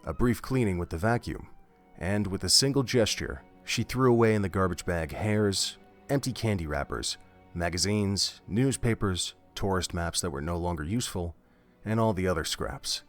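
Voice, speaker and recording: A man narrating a scripted story in a measured, steady way. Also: deep voice. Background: faint music.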